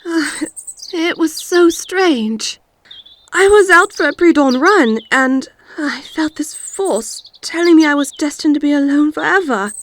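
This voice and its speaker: Woman acting high, slow, dazed victim. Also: animated, a bit bright, a little hiss or hum. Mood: shaken, bewildered. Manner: halting, dazed. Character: dazed, shaken female victim recovering from possession. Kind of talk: audio drama character acting.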